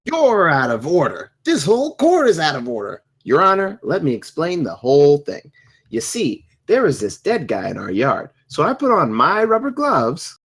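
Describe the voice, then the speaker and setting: A performer voicing a loud, dim, unruly defendant in a comedy script table read, brash and argumentative. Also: medium pitch, wildly swooping pitch, moderate pace, slightly rough, heavily acted.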